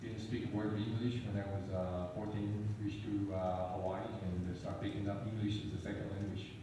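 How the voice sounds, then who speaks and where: A man from Taiwan, speaking English as an in a recorded interview, halting with "uh" fillers. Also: on the low side, level tone. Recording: echoey, distant, noisy.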